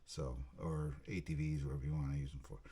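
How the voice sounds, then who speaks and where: A man giving a casual, halting hands-on unboxing commentary, muttering to himself as he works. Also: very deep voice, little pitch movement, dark tone. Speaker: video host, product unboxer. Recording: clear background noise, handling clicks.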